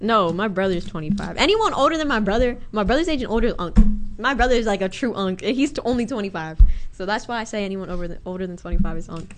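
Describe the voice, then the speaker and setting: A woman's voice: mid-range voice, animated, fast talker, a little hiss or hum. A woman of nineteen chatting casually, laughing often and filling her talk with "like" and "bro". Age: young adult. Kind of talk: casual chat.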